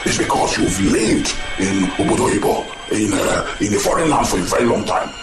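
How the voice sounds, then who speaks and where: A man's voice: mid-range voice, huge pitch swings, fairly quick. A man playing a proud, pompous chief who lectures and takes offense over his title. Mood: indignant. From Nigeria. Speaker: film distributor and producer. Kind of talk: amateur radio drama acting. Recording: echoey room with background music.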